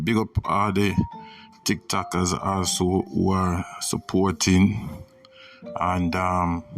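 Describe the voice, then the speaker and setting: A man with a Jamaican accent hosting a podcast, giving a casual, reflective motivational monologue with frequent pauses and "you know". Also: sits low. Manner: reflective, pausing often, repeats "you know". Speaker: podcast host. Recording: distant mic with music behind.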